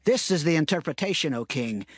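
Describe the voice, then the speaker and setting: An actor playing an earnest, respectful prophet counselling his king in a dramatized scripture reading, solemn and measured. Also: fairly high pitch, lively intonation, no room sound, studio quality. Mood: earnest warning. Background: music.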